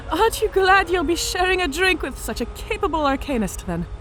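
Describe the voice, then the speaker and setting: An actress playing a confident, teasing orc centurion mage in a fantasy audio drama, hospitable and self-assured. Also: female voice, very high-pitched, very animated, normal speed, a bit bright, a little hiss or hum, heavily acted.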